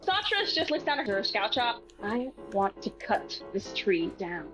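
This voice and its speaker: Female roleplay player, casual; lively intonation, highish voice, faint music behind. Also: a little slow, low-quality recording.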